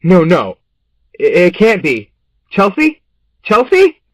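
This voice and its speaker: An actor in an audio drama playing a defensive kitchen worker, agitated, pleading and quick to accuse. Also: male voice, sits high, wildly swooping pitch, no room sound, heavily acted. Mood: grieving. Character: frantic, defensive male kitchen worker under suspicion.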